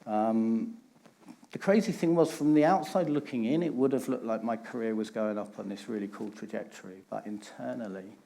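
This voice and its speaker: A man's voice: lowish voice, normal speed, creaky, dark tone. A middle-aged man, giving a candid personal talk on stage and stressing points through repetition. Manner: candid, emphatic storytelling with repeated phrases. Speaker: conference speaker.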